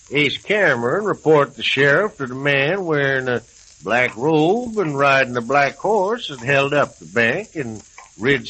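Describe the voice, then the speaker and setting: An American actor playing a good-humored old prospector in a radio western, drawling in rustic cowboy dialect. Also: male voice, medium pitch, sharp tone. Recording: hissy, crackly old radio recording.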